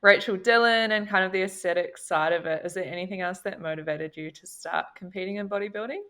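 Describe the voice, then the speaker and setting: A woman's voice: medium pitch, fast, pushed and bright. A woman with a New Zealand accent hosting a casual podcast interview in an enthusiastic, supportive way. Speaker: podcast host.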